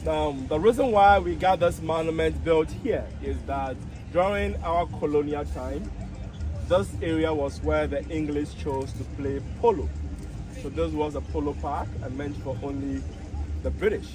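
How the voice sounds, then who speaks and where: A man from Ghana with a Ghanaian accent, explaining history as a tour guide in a measured way. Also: fairly high pitch, low-quality recording. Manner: measured, explanatory, rising emphasis on key points. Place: outdoor memorial park by a city road. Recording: outdoor traffic noise.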